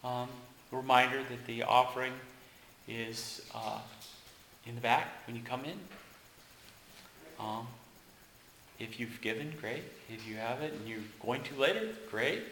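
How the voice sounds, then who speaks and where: A man's voice: mid-range voice, measured pace, pauses often, a little hiss or hum. A man serving as pastor who leads the congregation in a hymn and preaches a casual, story-led sermon in a church. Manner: leads hymn singing, then preaches with relaxed asides. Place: church sanctuary. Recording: echoey church room.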